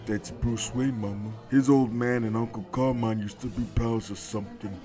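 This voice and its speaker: A man's voice: mid-range voice, fairly even intonation, moderate pace, mellow, faint music behind, close to an everyday voice. A man voicing an obedient grown mobster son who talks in slangy street talk in a comic book drama. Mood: dutiful.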